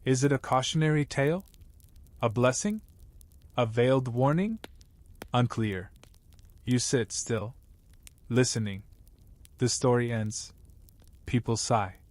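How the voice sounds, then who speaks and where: A man narrating a scripted bedtime story in a measured, wry way. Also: mid-range voice, a little mellow, no room sound.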